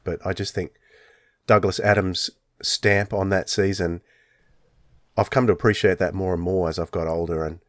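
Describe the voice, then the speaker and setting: Low-voiced male podcast host, dry close sound. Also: dry recording. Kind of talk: casual enthusiastic fan chat.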